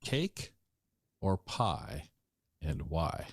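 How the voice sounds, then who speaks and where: A man's voice: deep voice, sing-song, drawn-out words, gravelly, husky, dry recording. American man hosting, dry, close sound. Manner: informal, rambling. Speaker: podcast host, interviewer. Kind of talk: casual interview chat.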